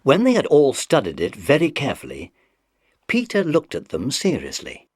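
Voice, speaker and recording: A man narrating a children's story from a script with an expressive storyteller's delivery. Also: medium pitch, moderate pace, husky, no room sound.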